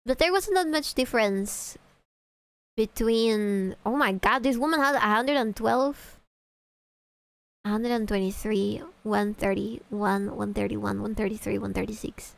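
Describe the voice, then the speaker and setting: A woman's voice: medium pitch, measured pace, gravelly. A woman streamer chatting casually, profane and easily sidetracked, reacting to videos.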